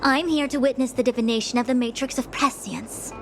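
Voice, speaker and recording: Bossy young lady diviner for a game, highish voice, formal, music going. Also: a little fast. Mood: imperious. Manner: imperious, formal, crisp wording. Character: stern, proud young female master diviner. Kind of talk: video game voice acting.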